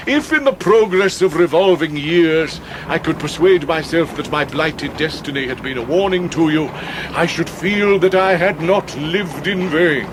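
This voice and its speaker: A florid middle-aged English gentleman, down on his luck yet grand, in a period radio play. He declaims pompously in a fairly high, widely swinging voice, with clear outdoor noise behind him. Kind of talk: radio drama acting of a Victorian classic.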